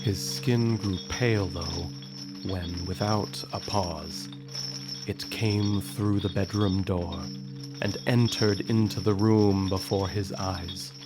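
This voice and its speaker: An actor as a measured, grave narrator of an audio drama, telling the story with suspense. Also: male voice, low voice, steady tone. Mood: suspenseful, grave. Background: music.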